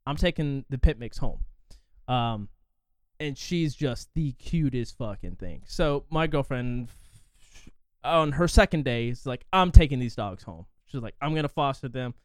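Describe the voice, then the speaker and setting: Young Indiana man, loose chatty podcast talk, smooth clean dry sound. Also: mid-range voice, expressive, unhurried, dry recording. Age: 20s. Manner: rambling, profane, frequent fillers. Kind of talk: casual chat.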